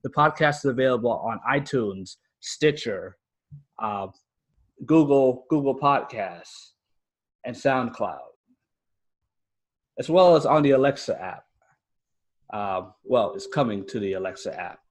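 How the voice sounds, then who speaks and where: A man's voice: mid-range voice, expressive, a little slow, long pauses. An American man speaking casually and earnestly as an interview guest. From United States. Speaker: podcast guest, fiction writer and podcaster.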